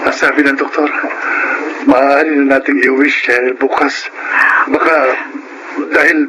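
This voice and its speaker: A man playing Martin, a calm and reassuring husband and father in a radio drama. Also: mid-range voice, normal speed, over loud music, lightly acted, poor audio. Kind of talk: Filipino radio drama acting.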